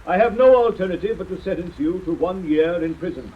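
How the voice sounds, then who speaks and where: A man playing a stern, formal judge in an old radio courtroom drama, speaking in measured legal phrases. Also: sits high, lively intonation, narrow, tinny audio. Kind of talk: 1940s radio acting. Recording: old radio with faint noise.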